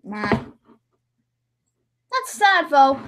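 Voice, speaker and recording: A woman's voice: sits high, sing-song, drawn-out words, fairly bright tone, a little hiss or hum. A woman chatting casually as a livestream host while editing, rambling and theatrical. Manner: rambling, theatrical, with sudden mock-dramatic outbursts. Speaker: livestream host and amateur voice-over editor.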